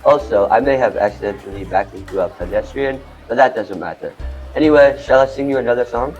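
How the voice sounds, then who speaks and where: A man's voice: mid-range voice, a little fast, darkish tone, dull audio, natural voice. Man playing a cheerful, boastful taco vendor, music behind. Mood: cheerful, dramatic. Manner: earnest, theatrical, formal wording. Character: muscular, cheerful, boastful male food truck owner and singer. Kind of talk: amateur radio play acting.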